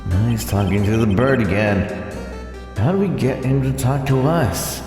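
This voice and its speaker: A man's voice: medium pitch, animated, moderate pace, darkish tone. A man streaming a video game, casually reading out dialogue and commenting offhand. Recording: game music behind the voice.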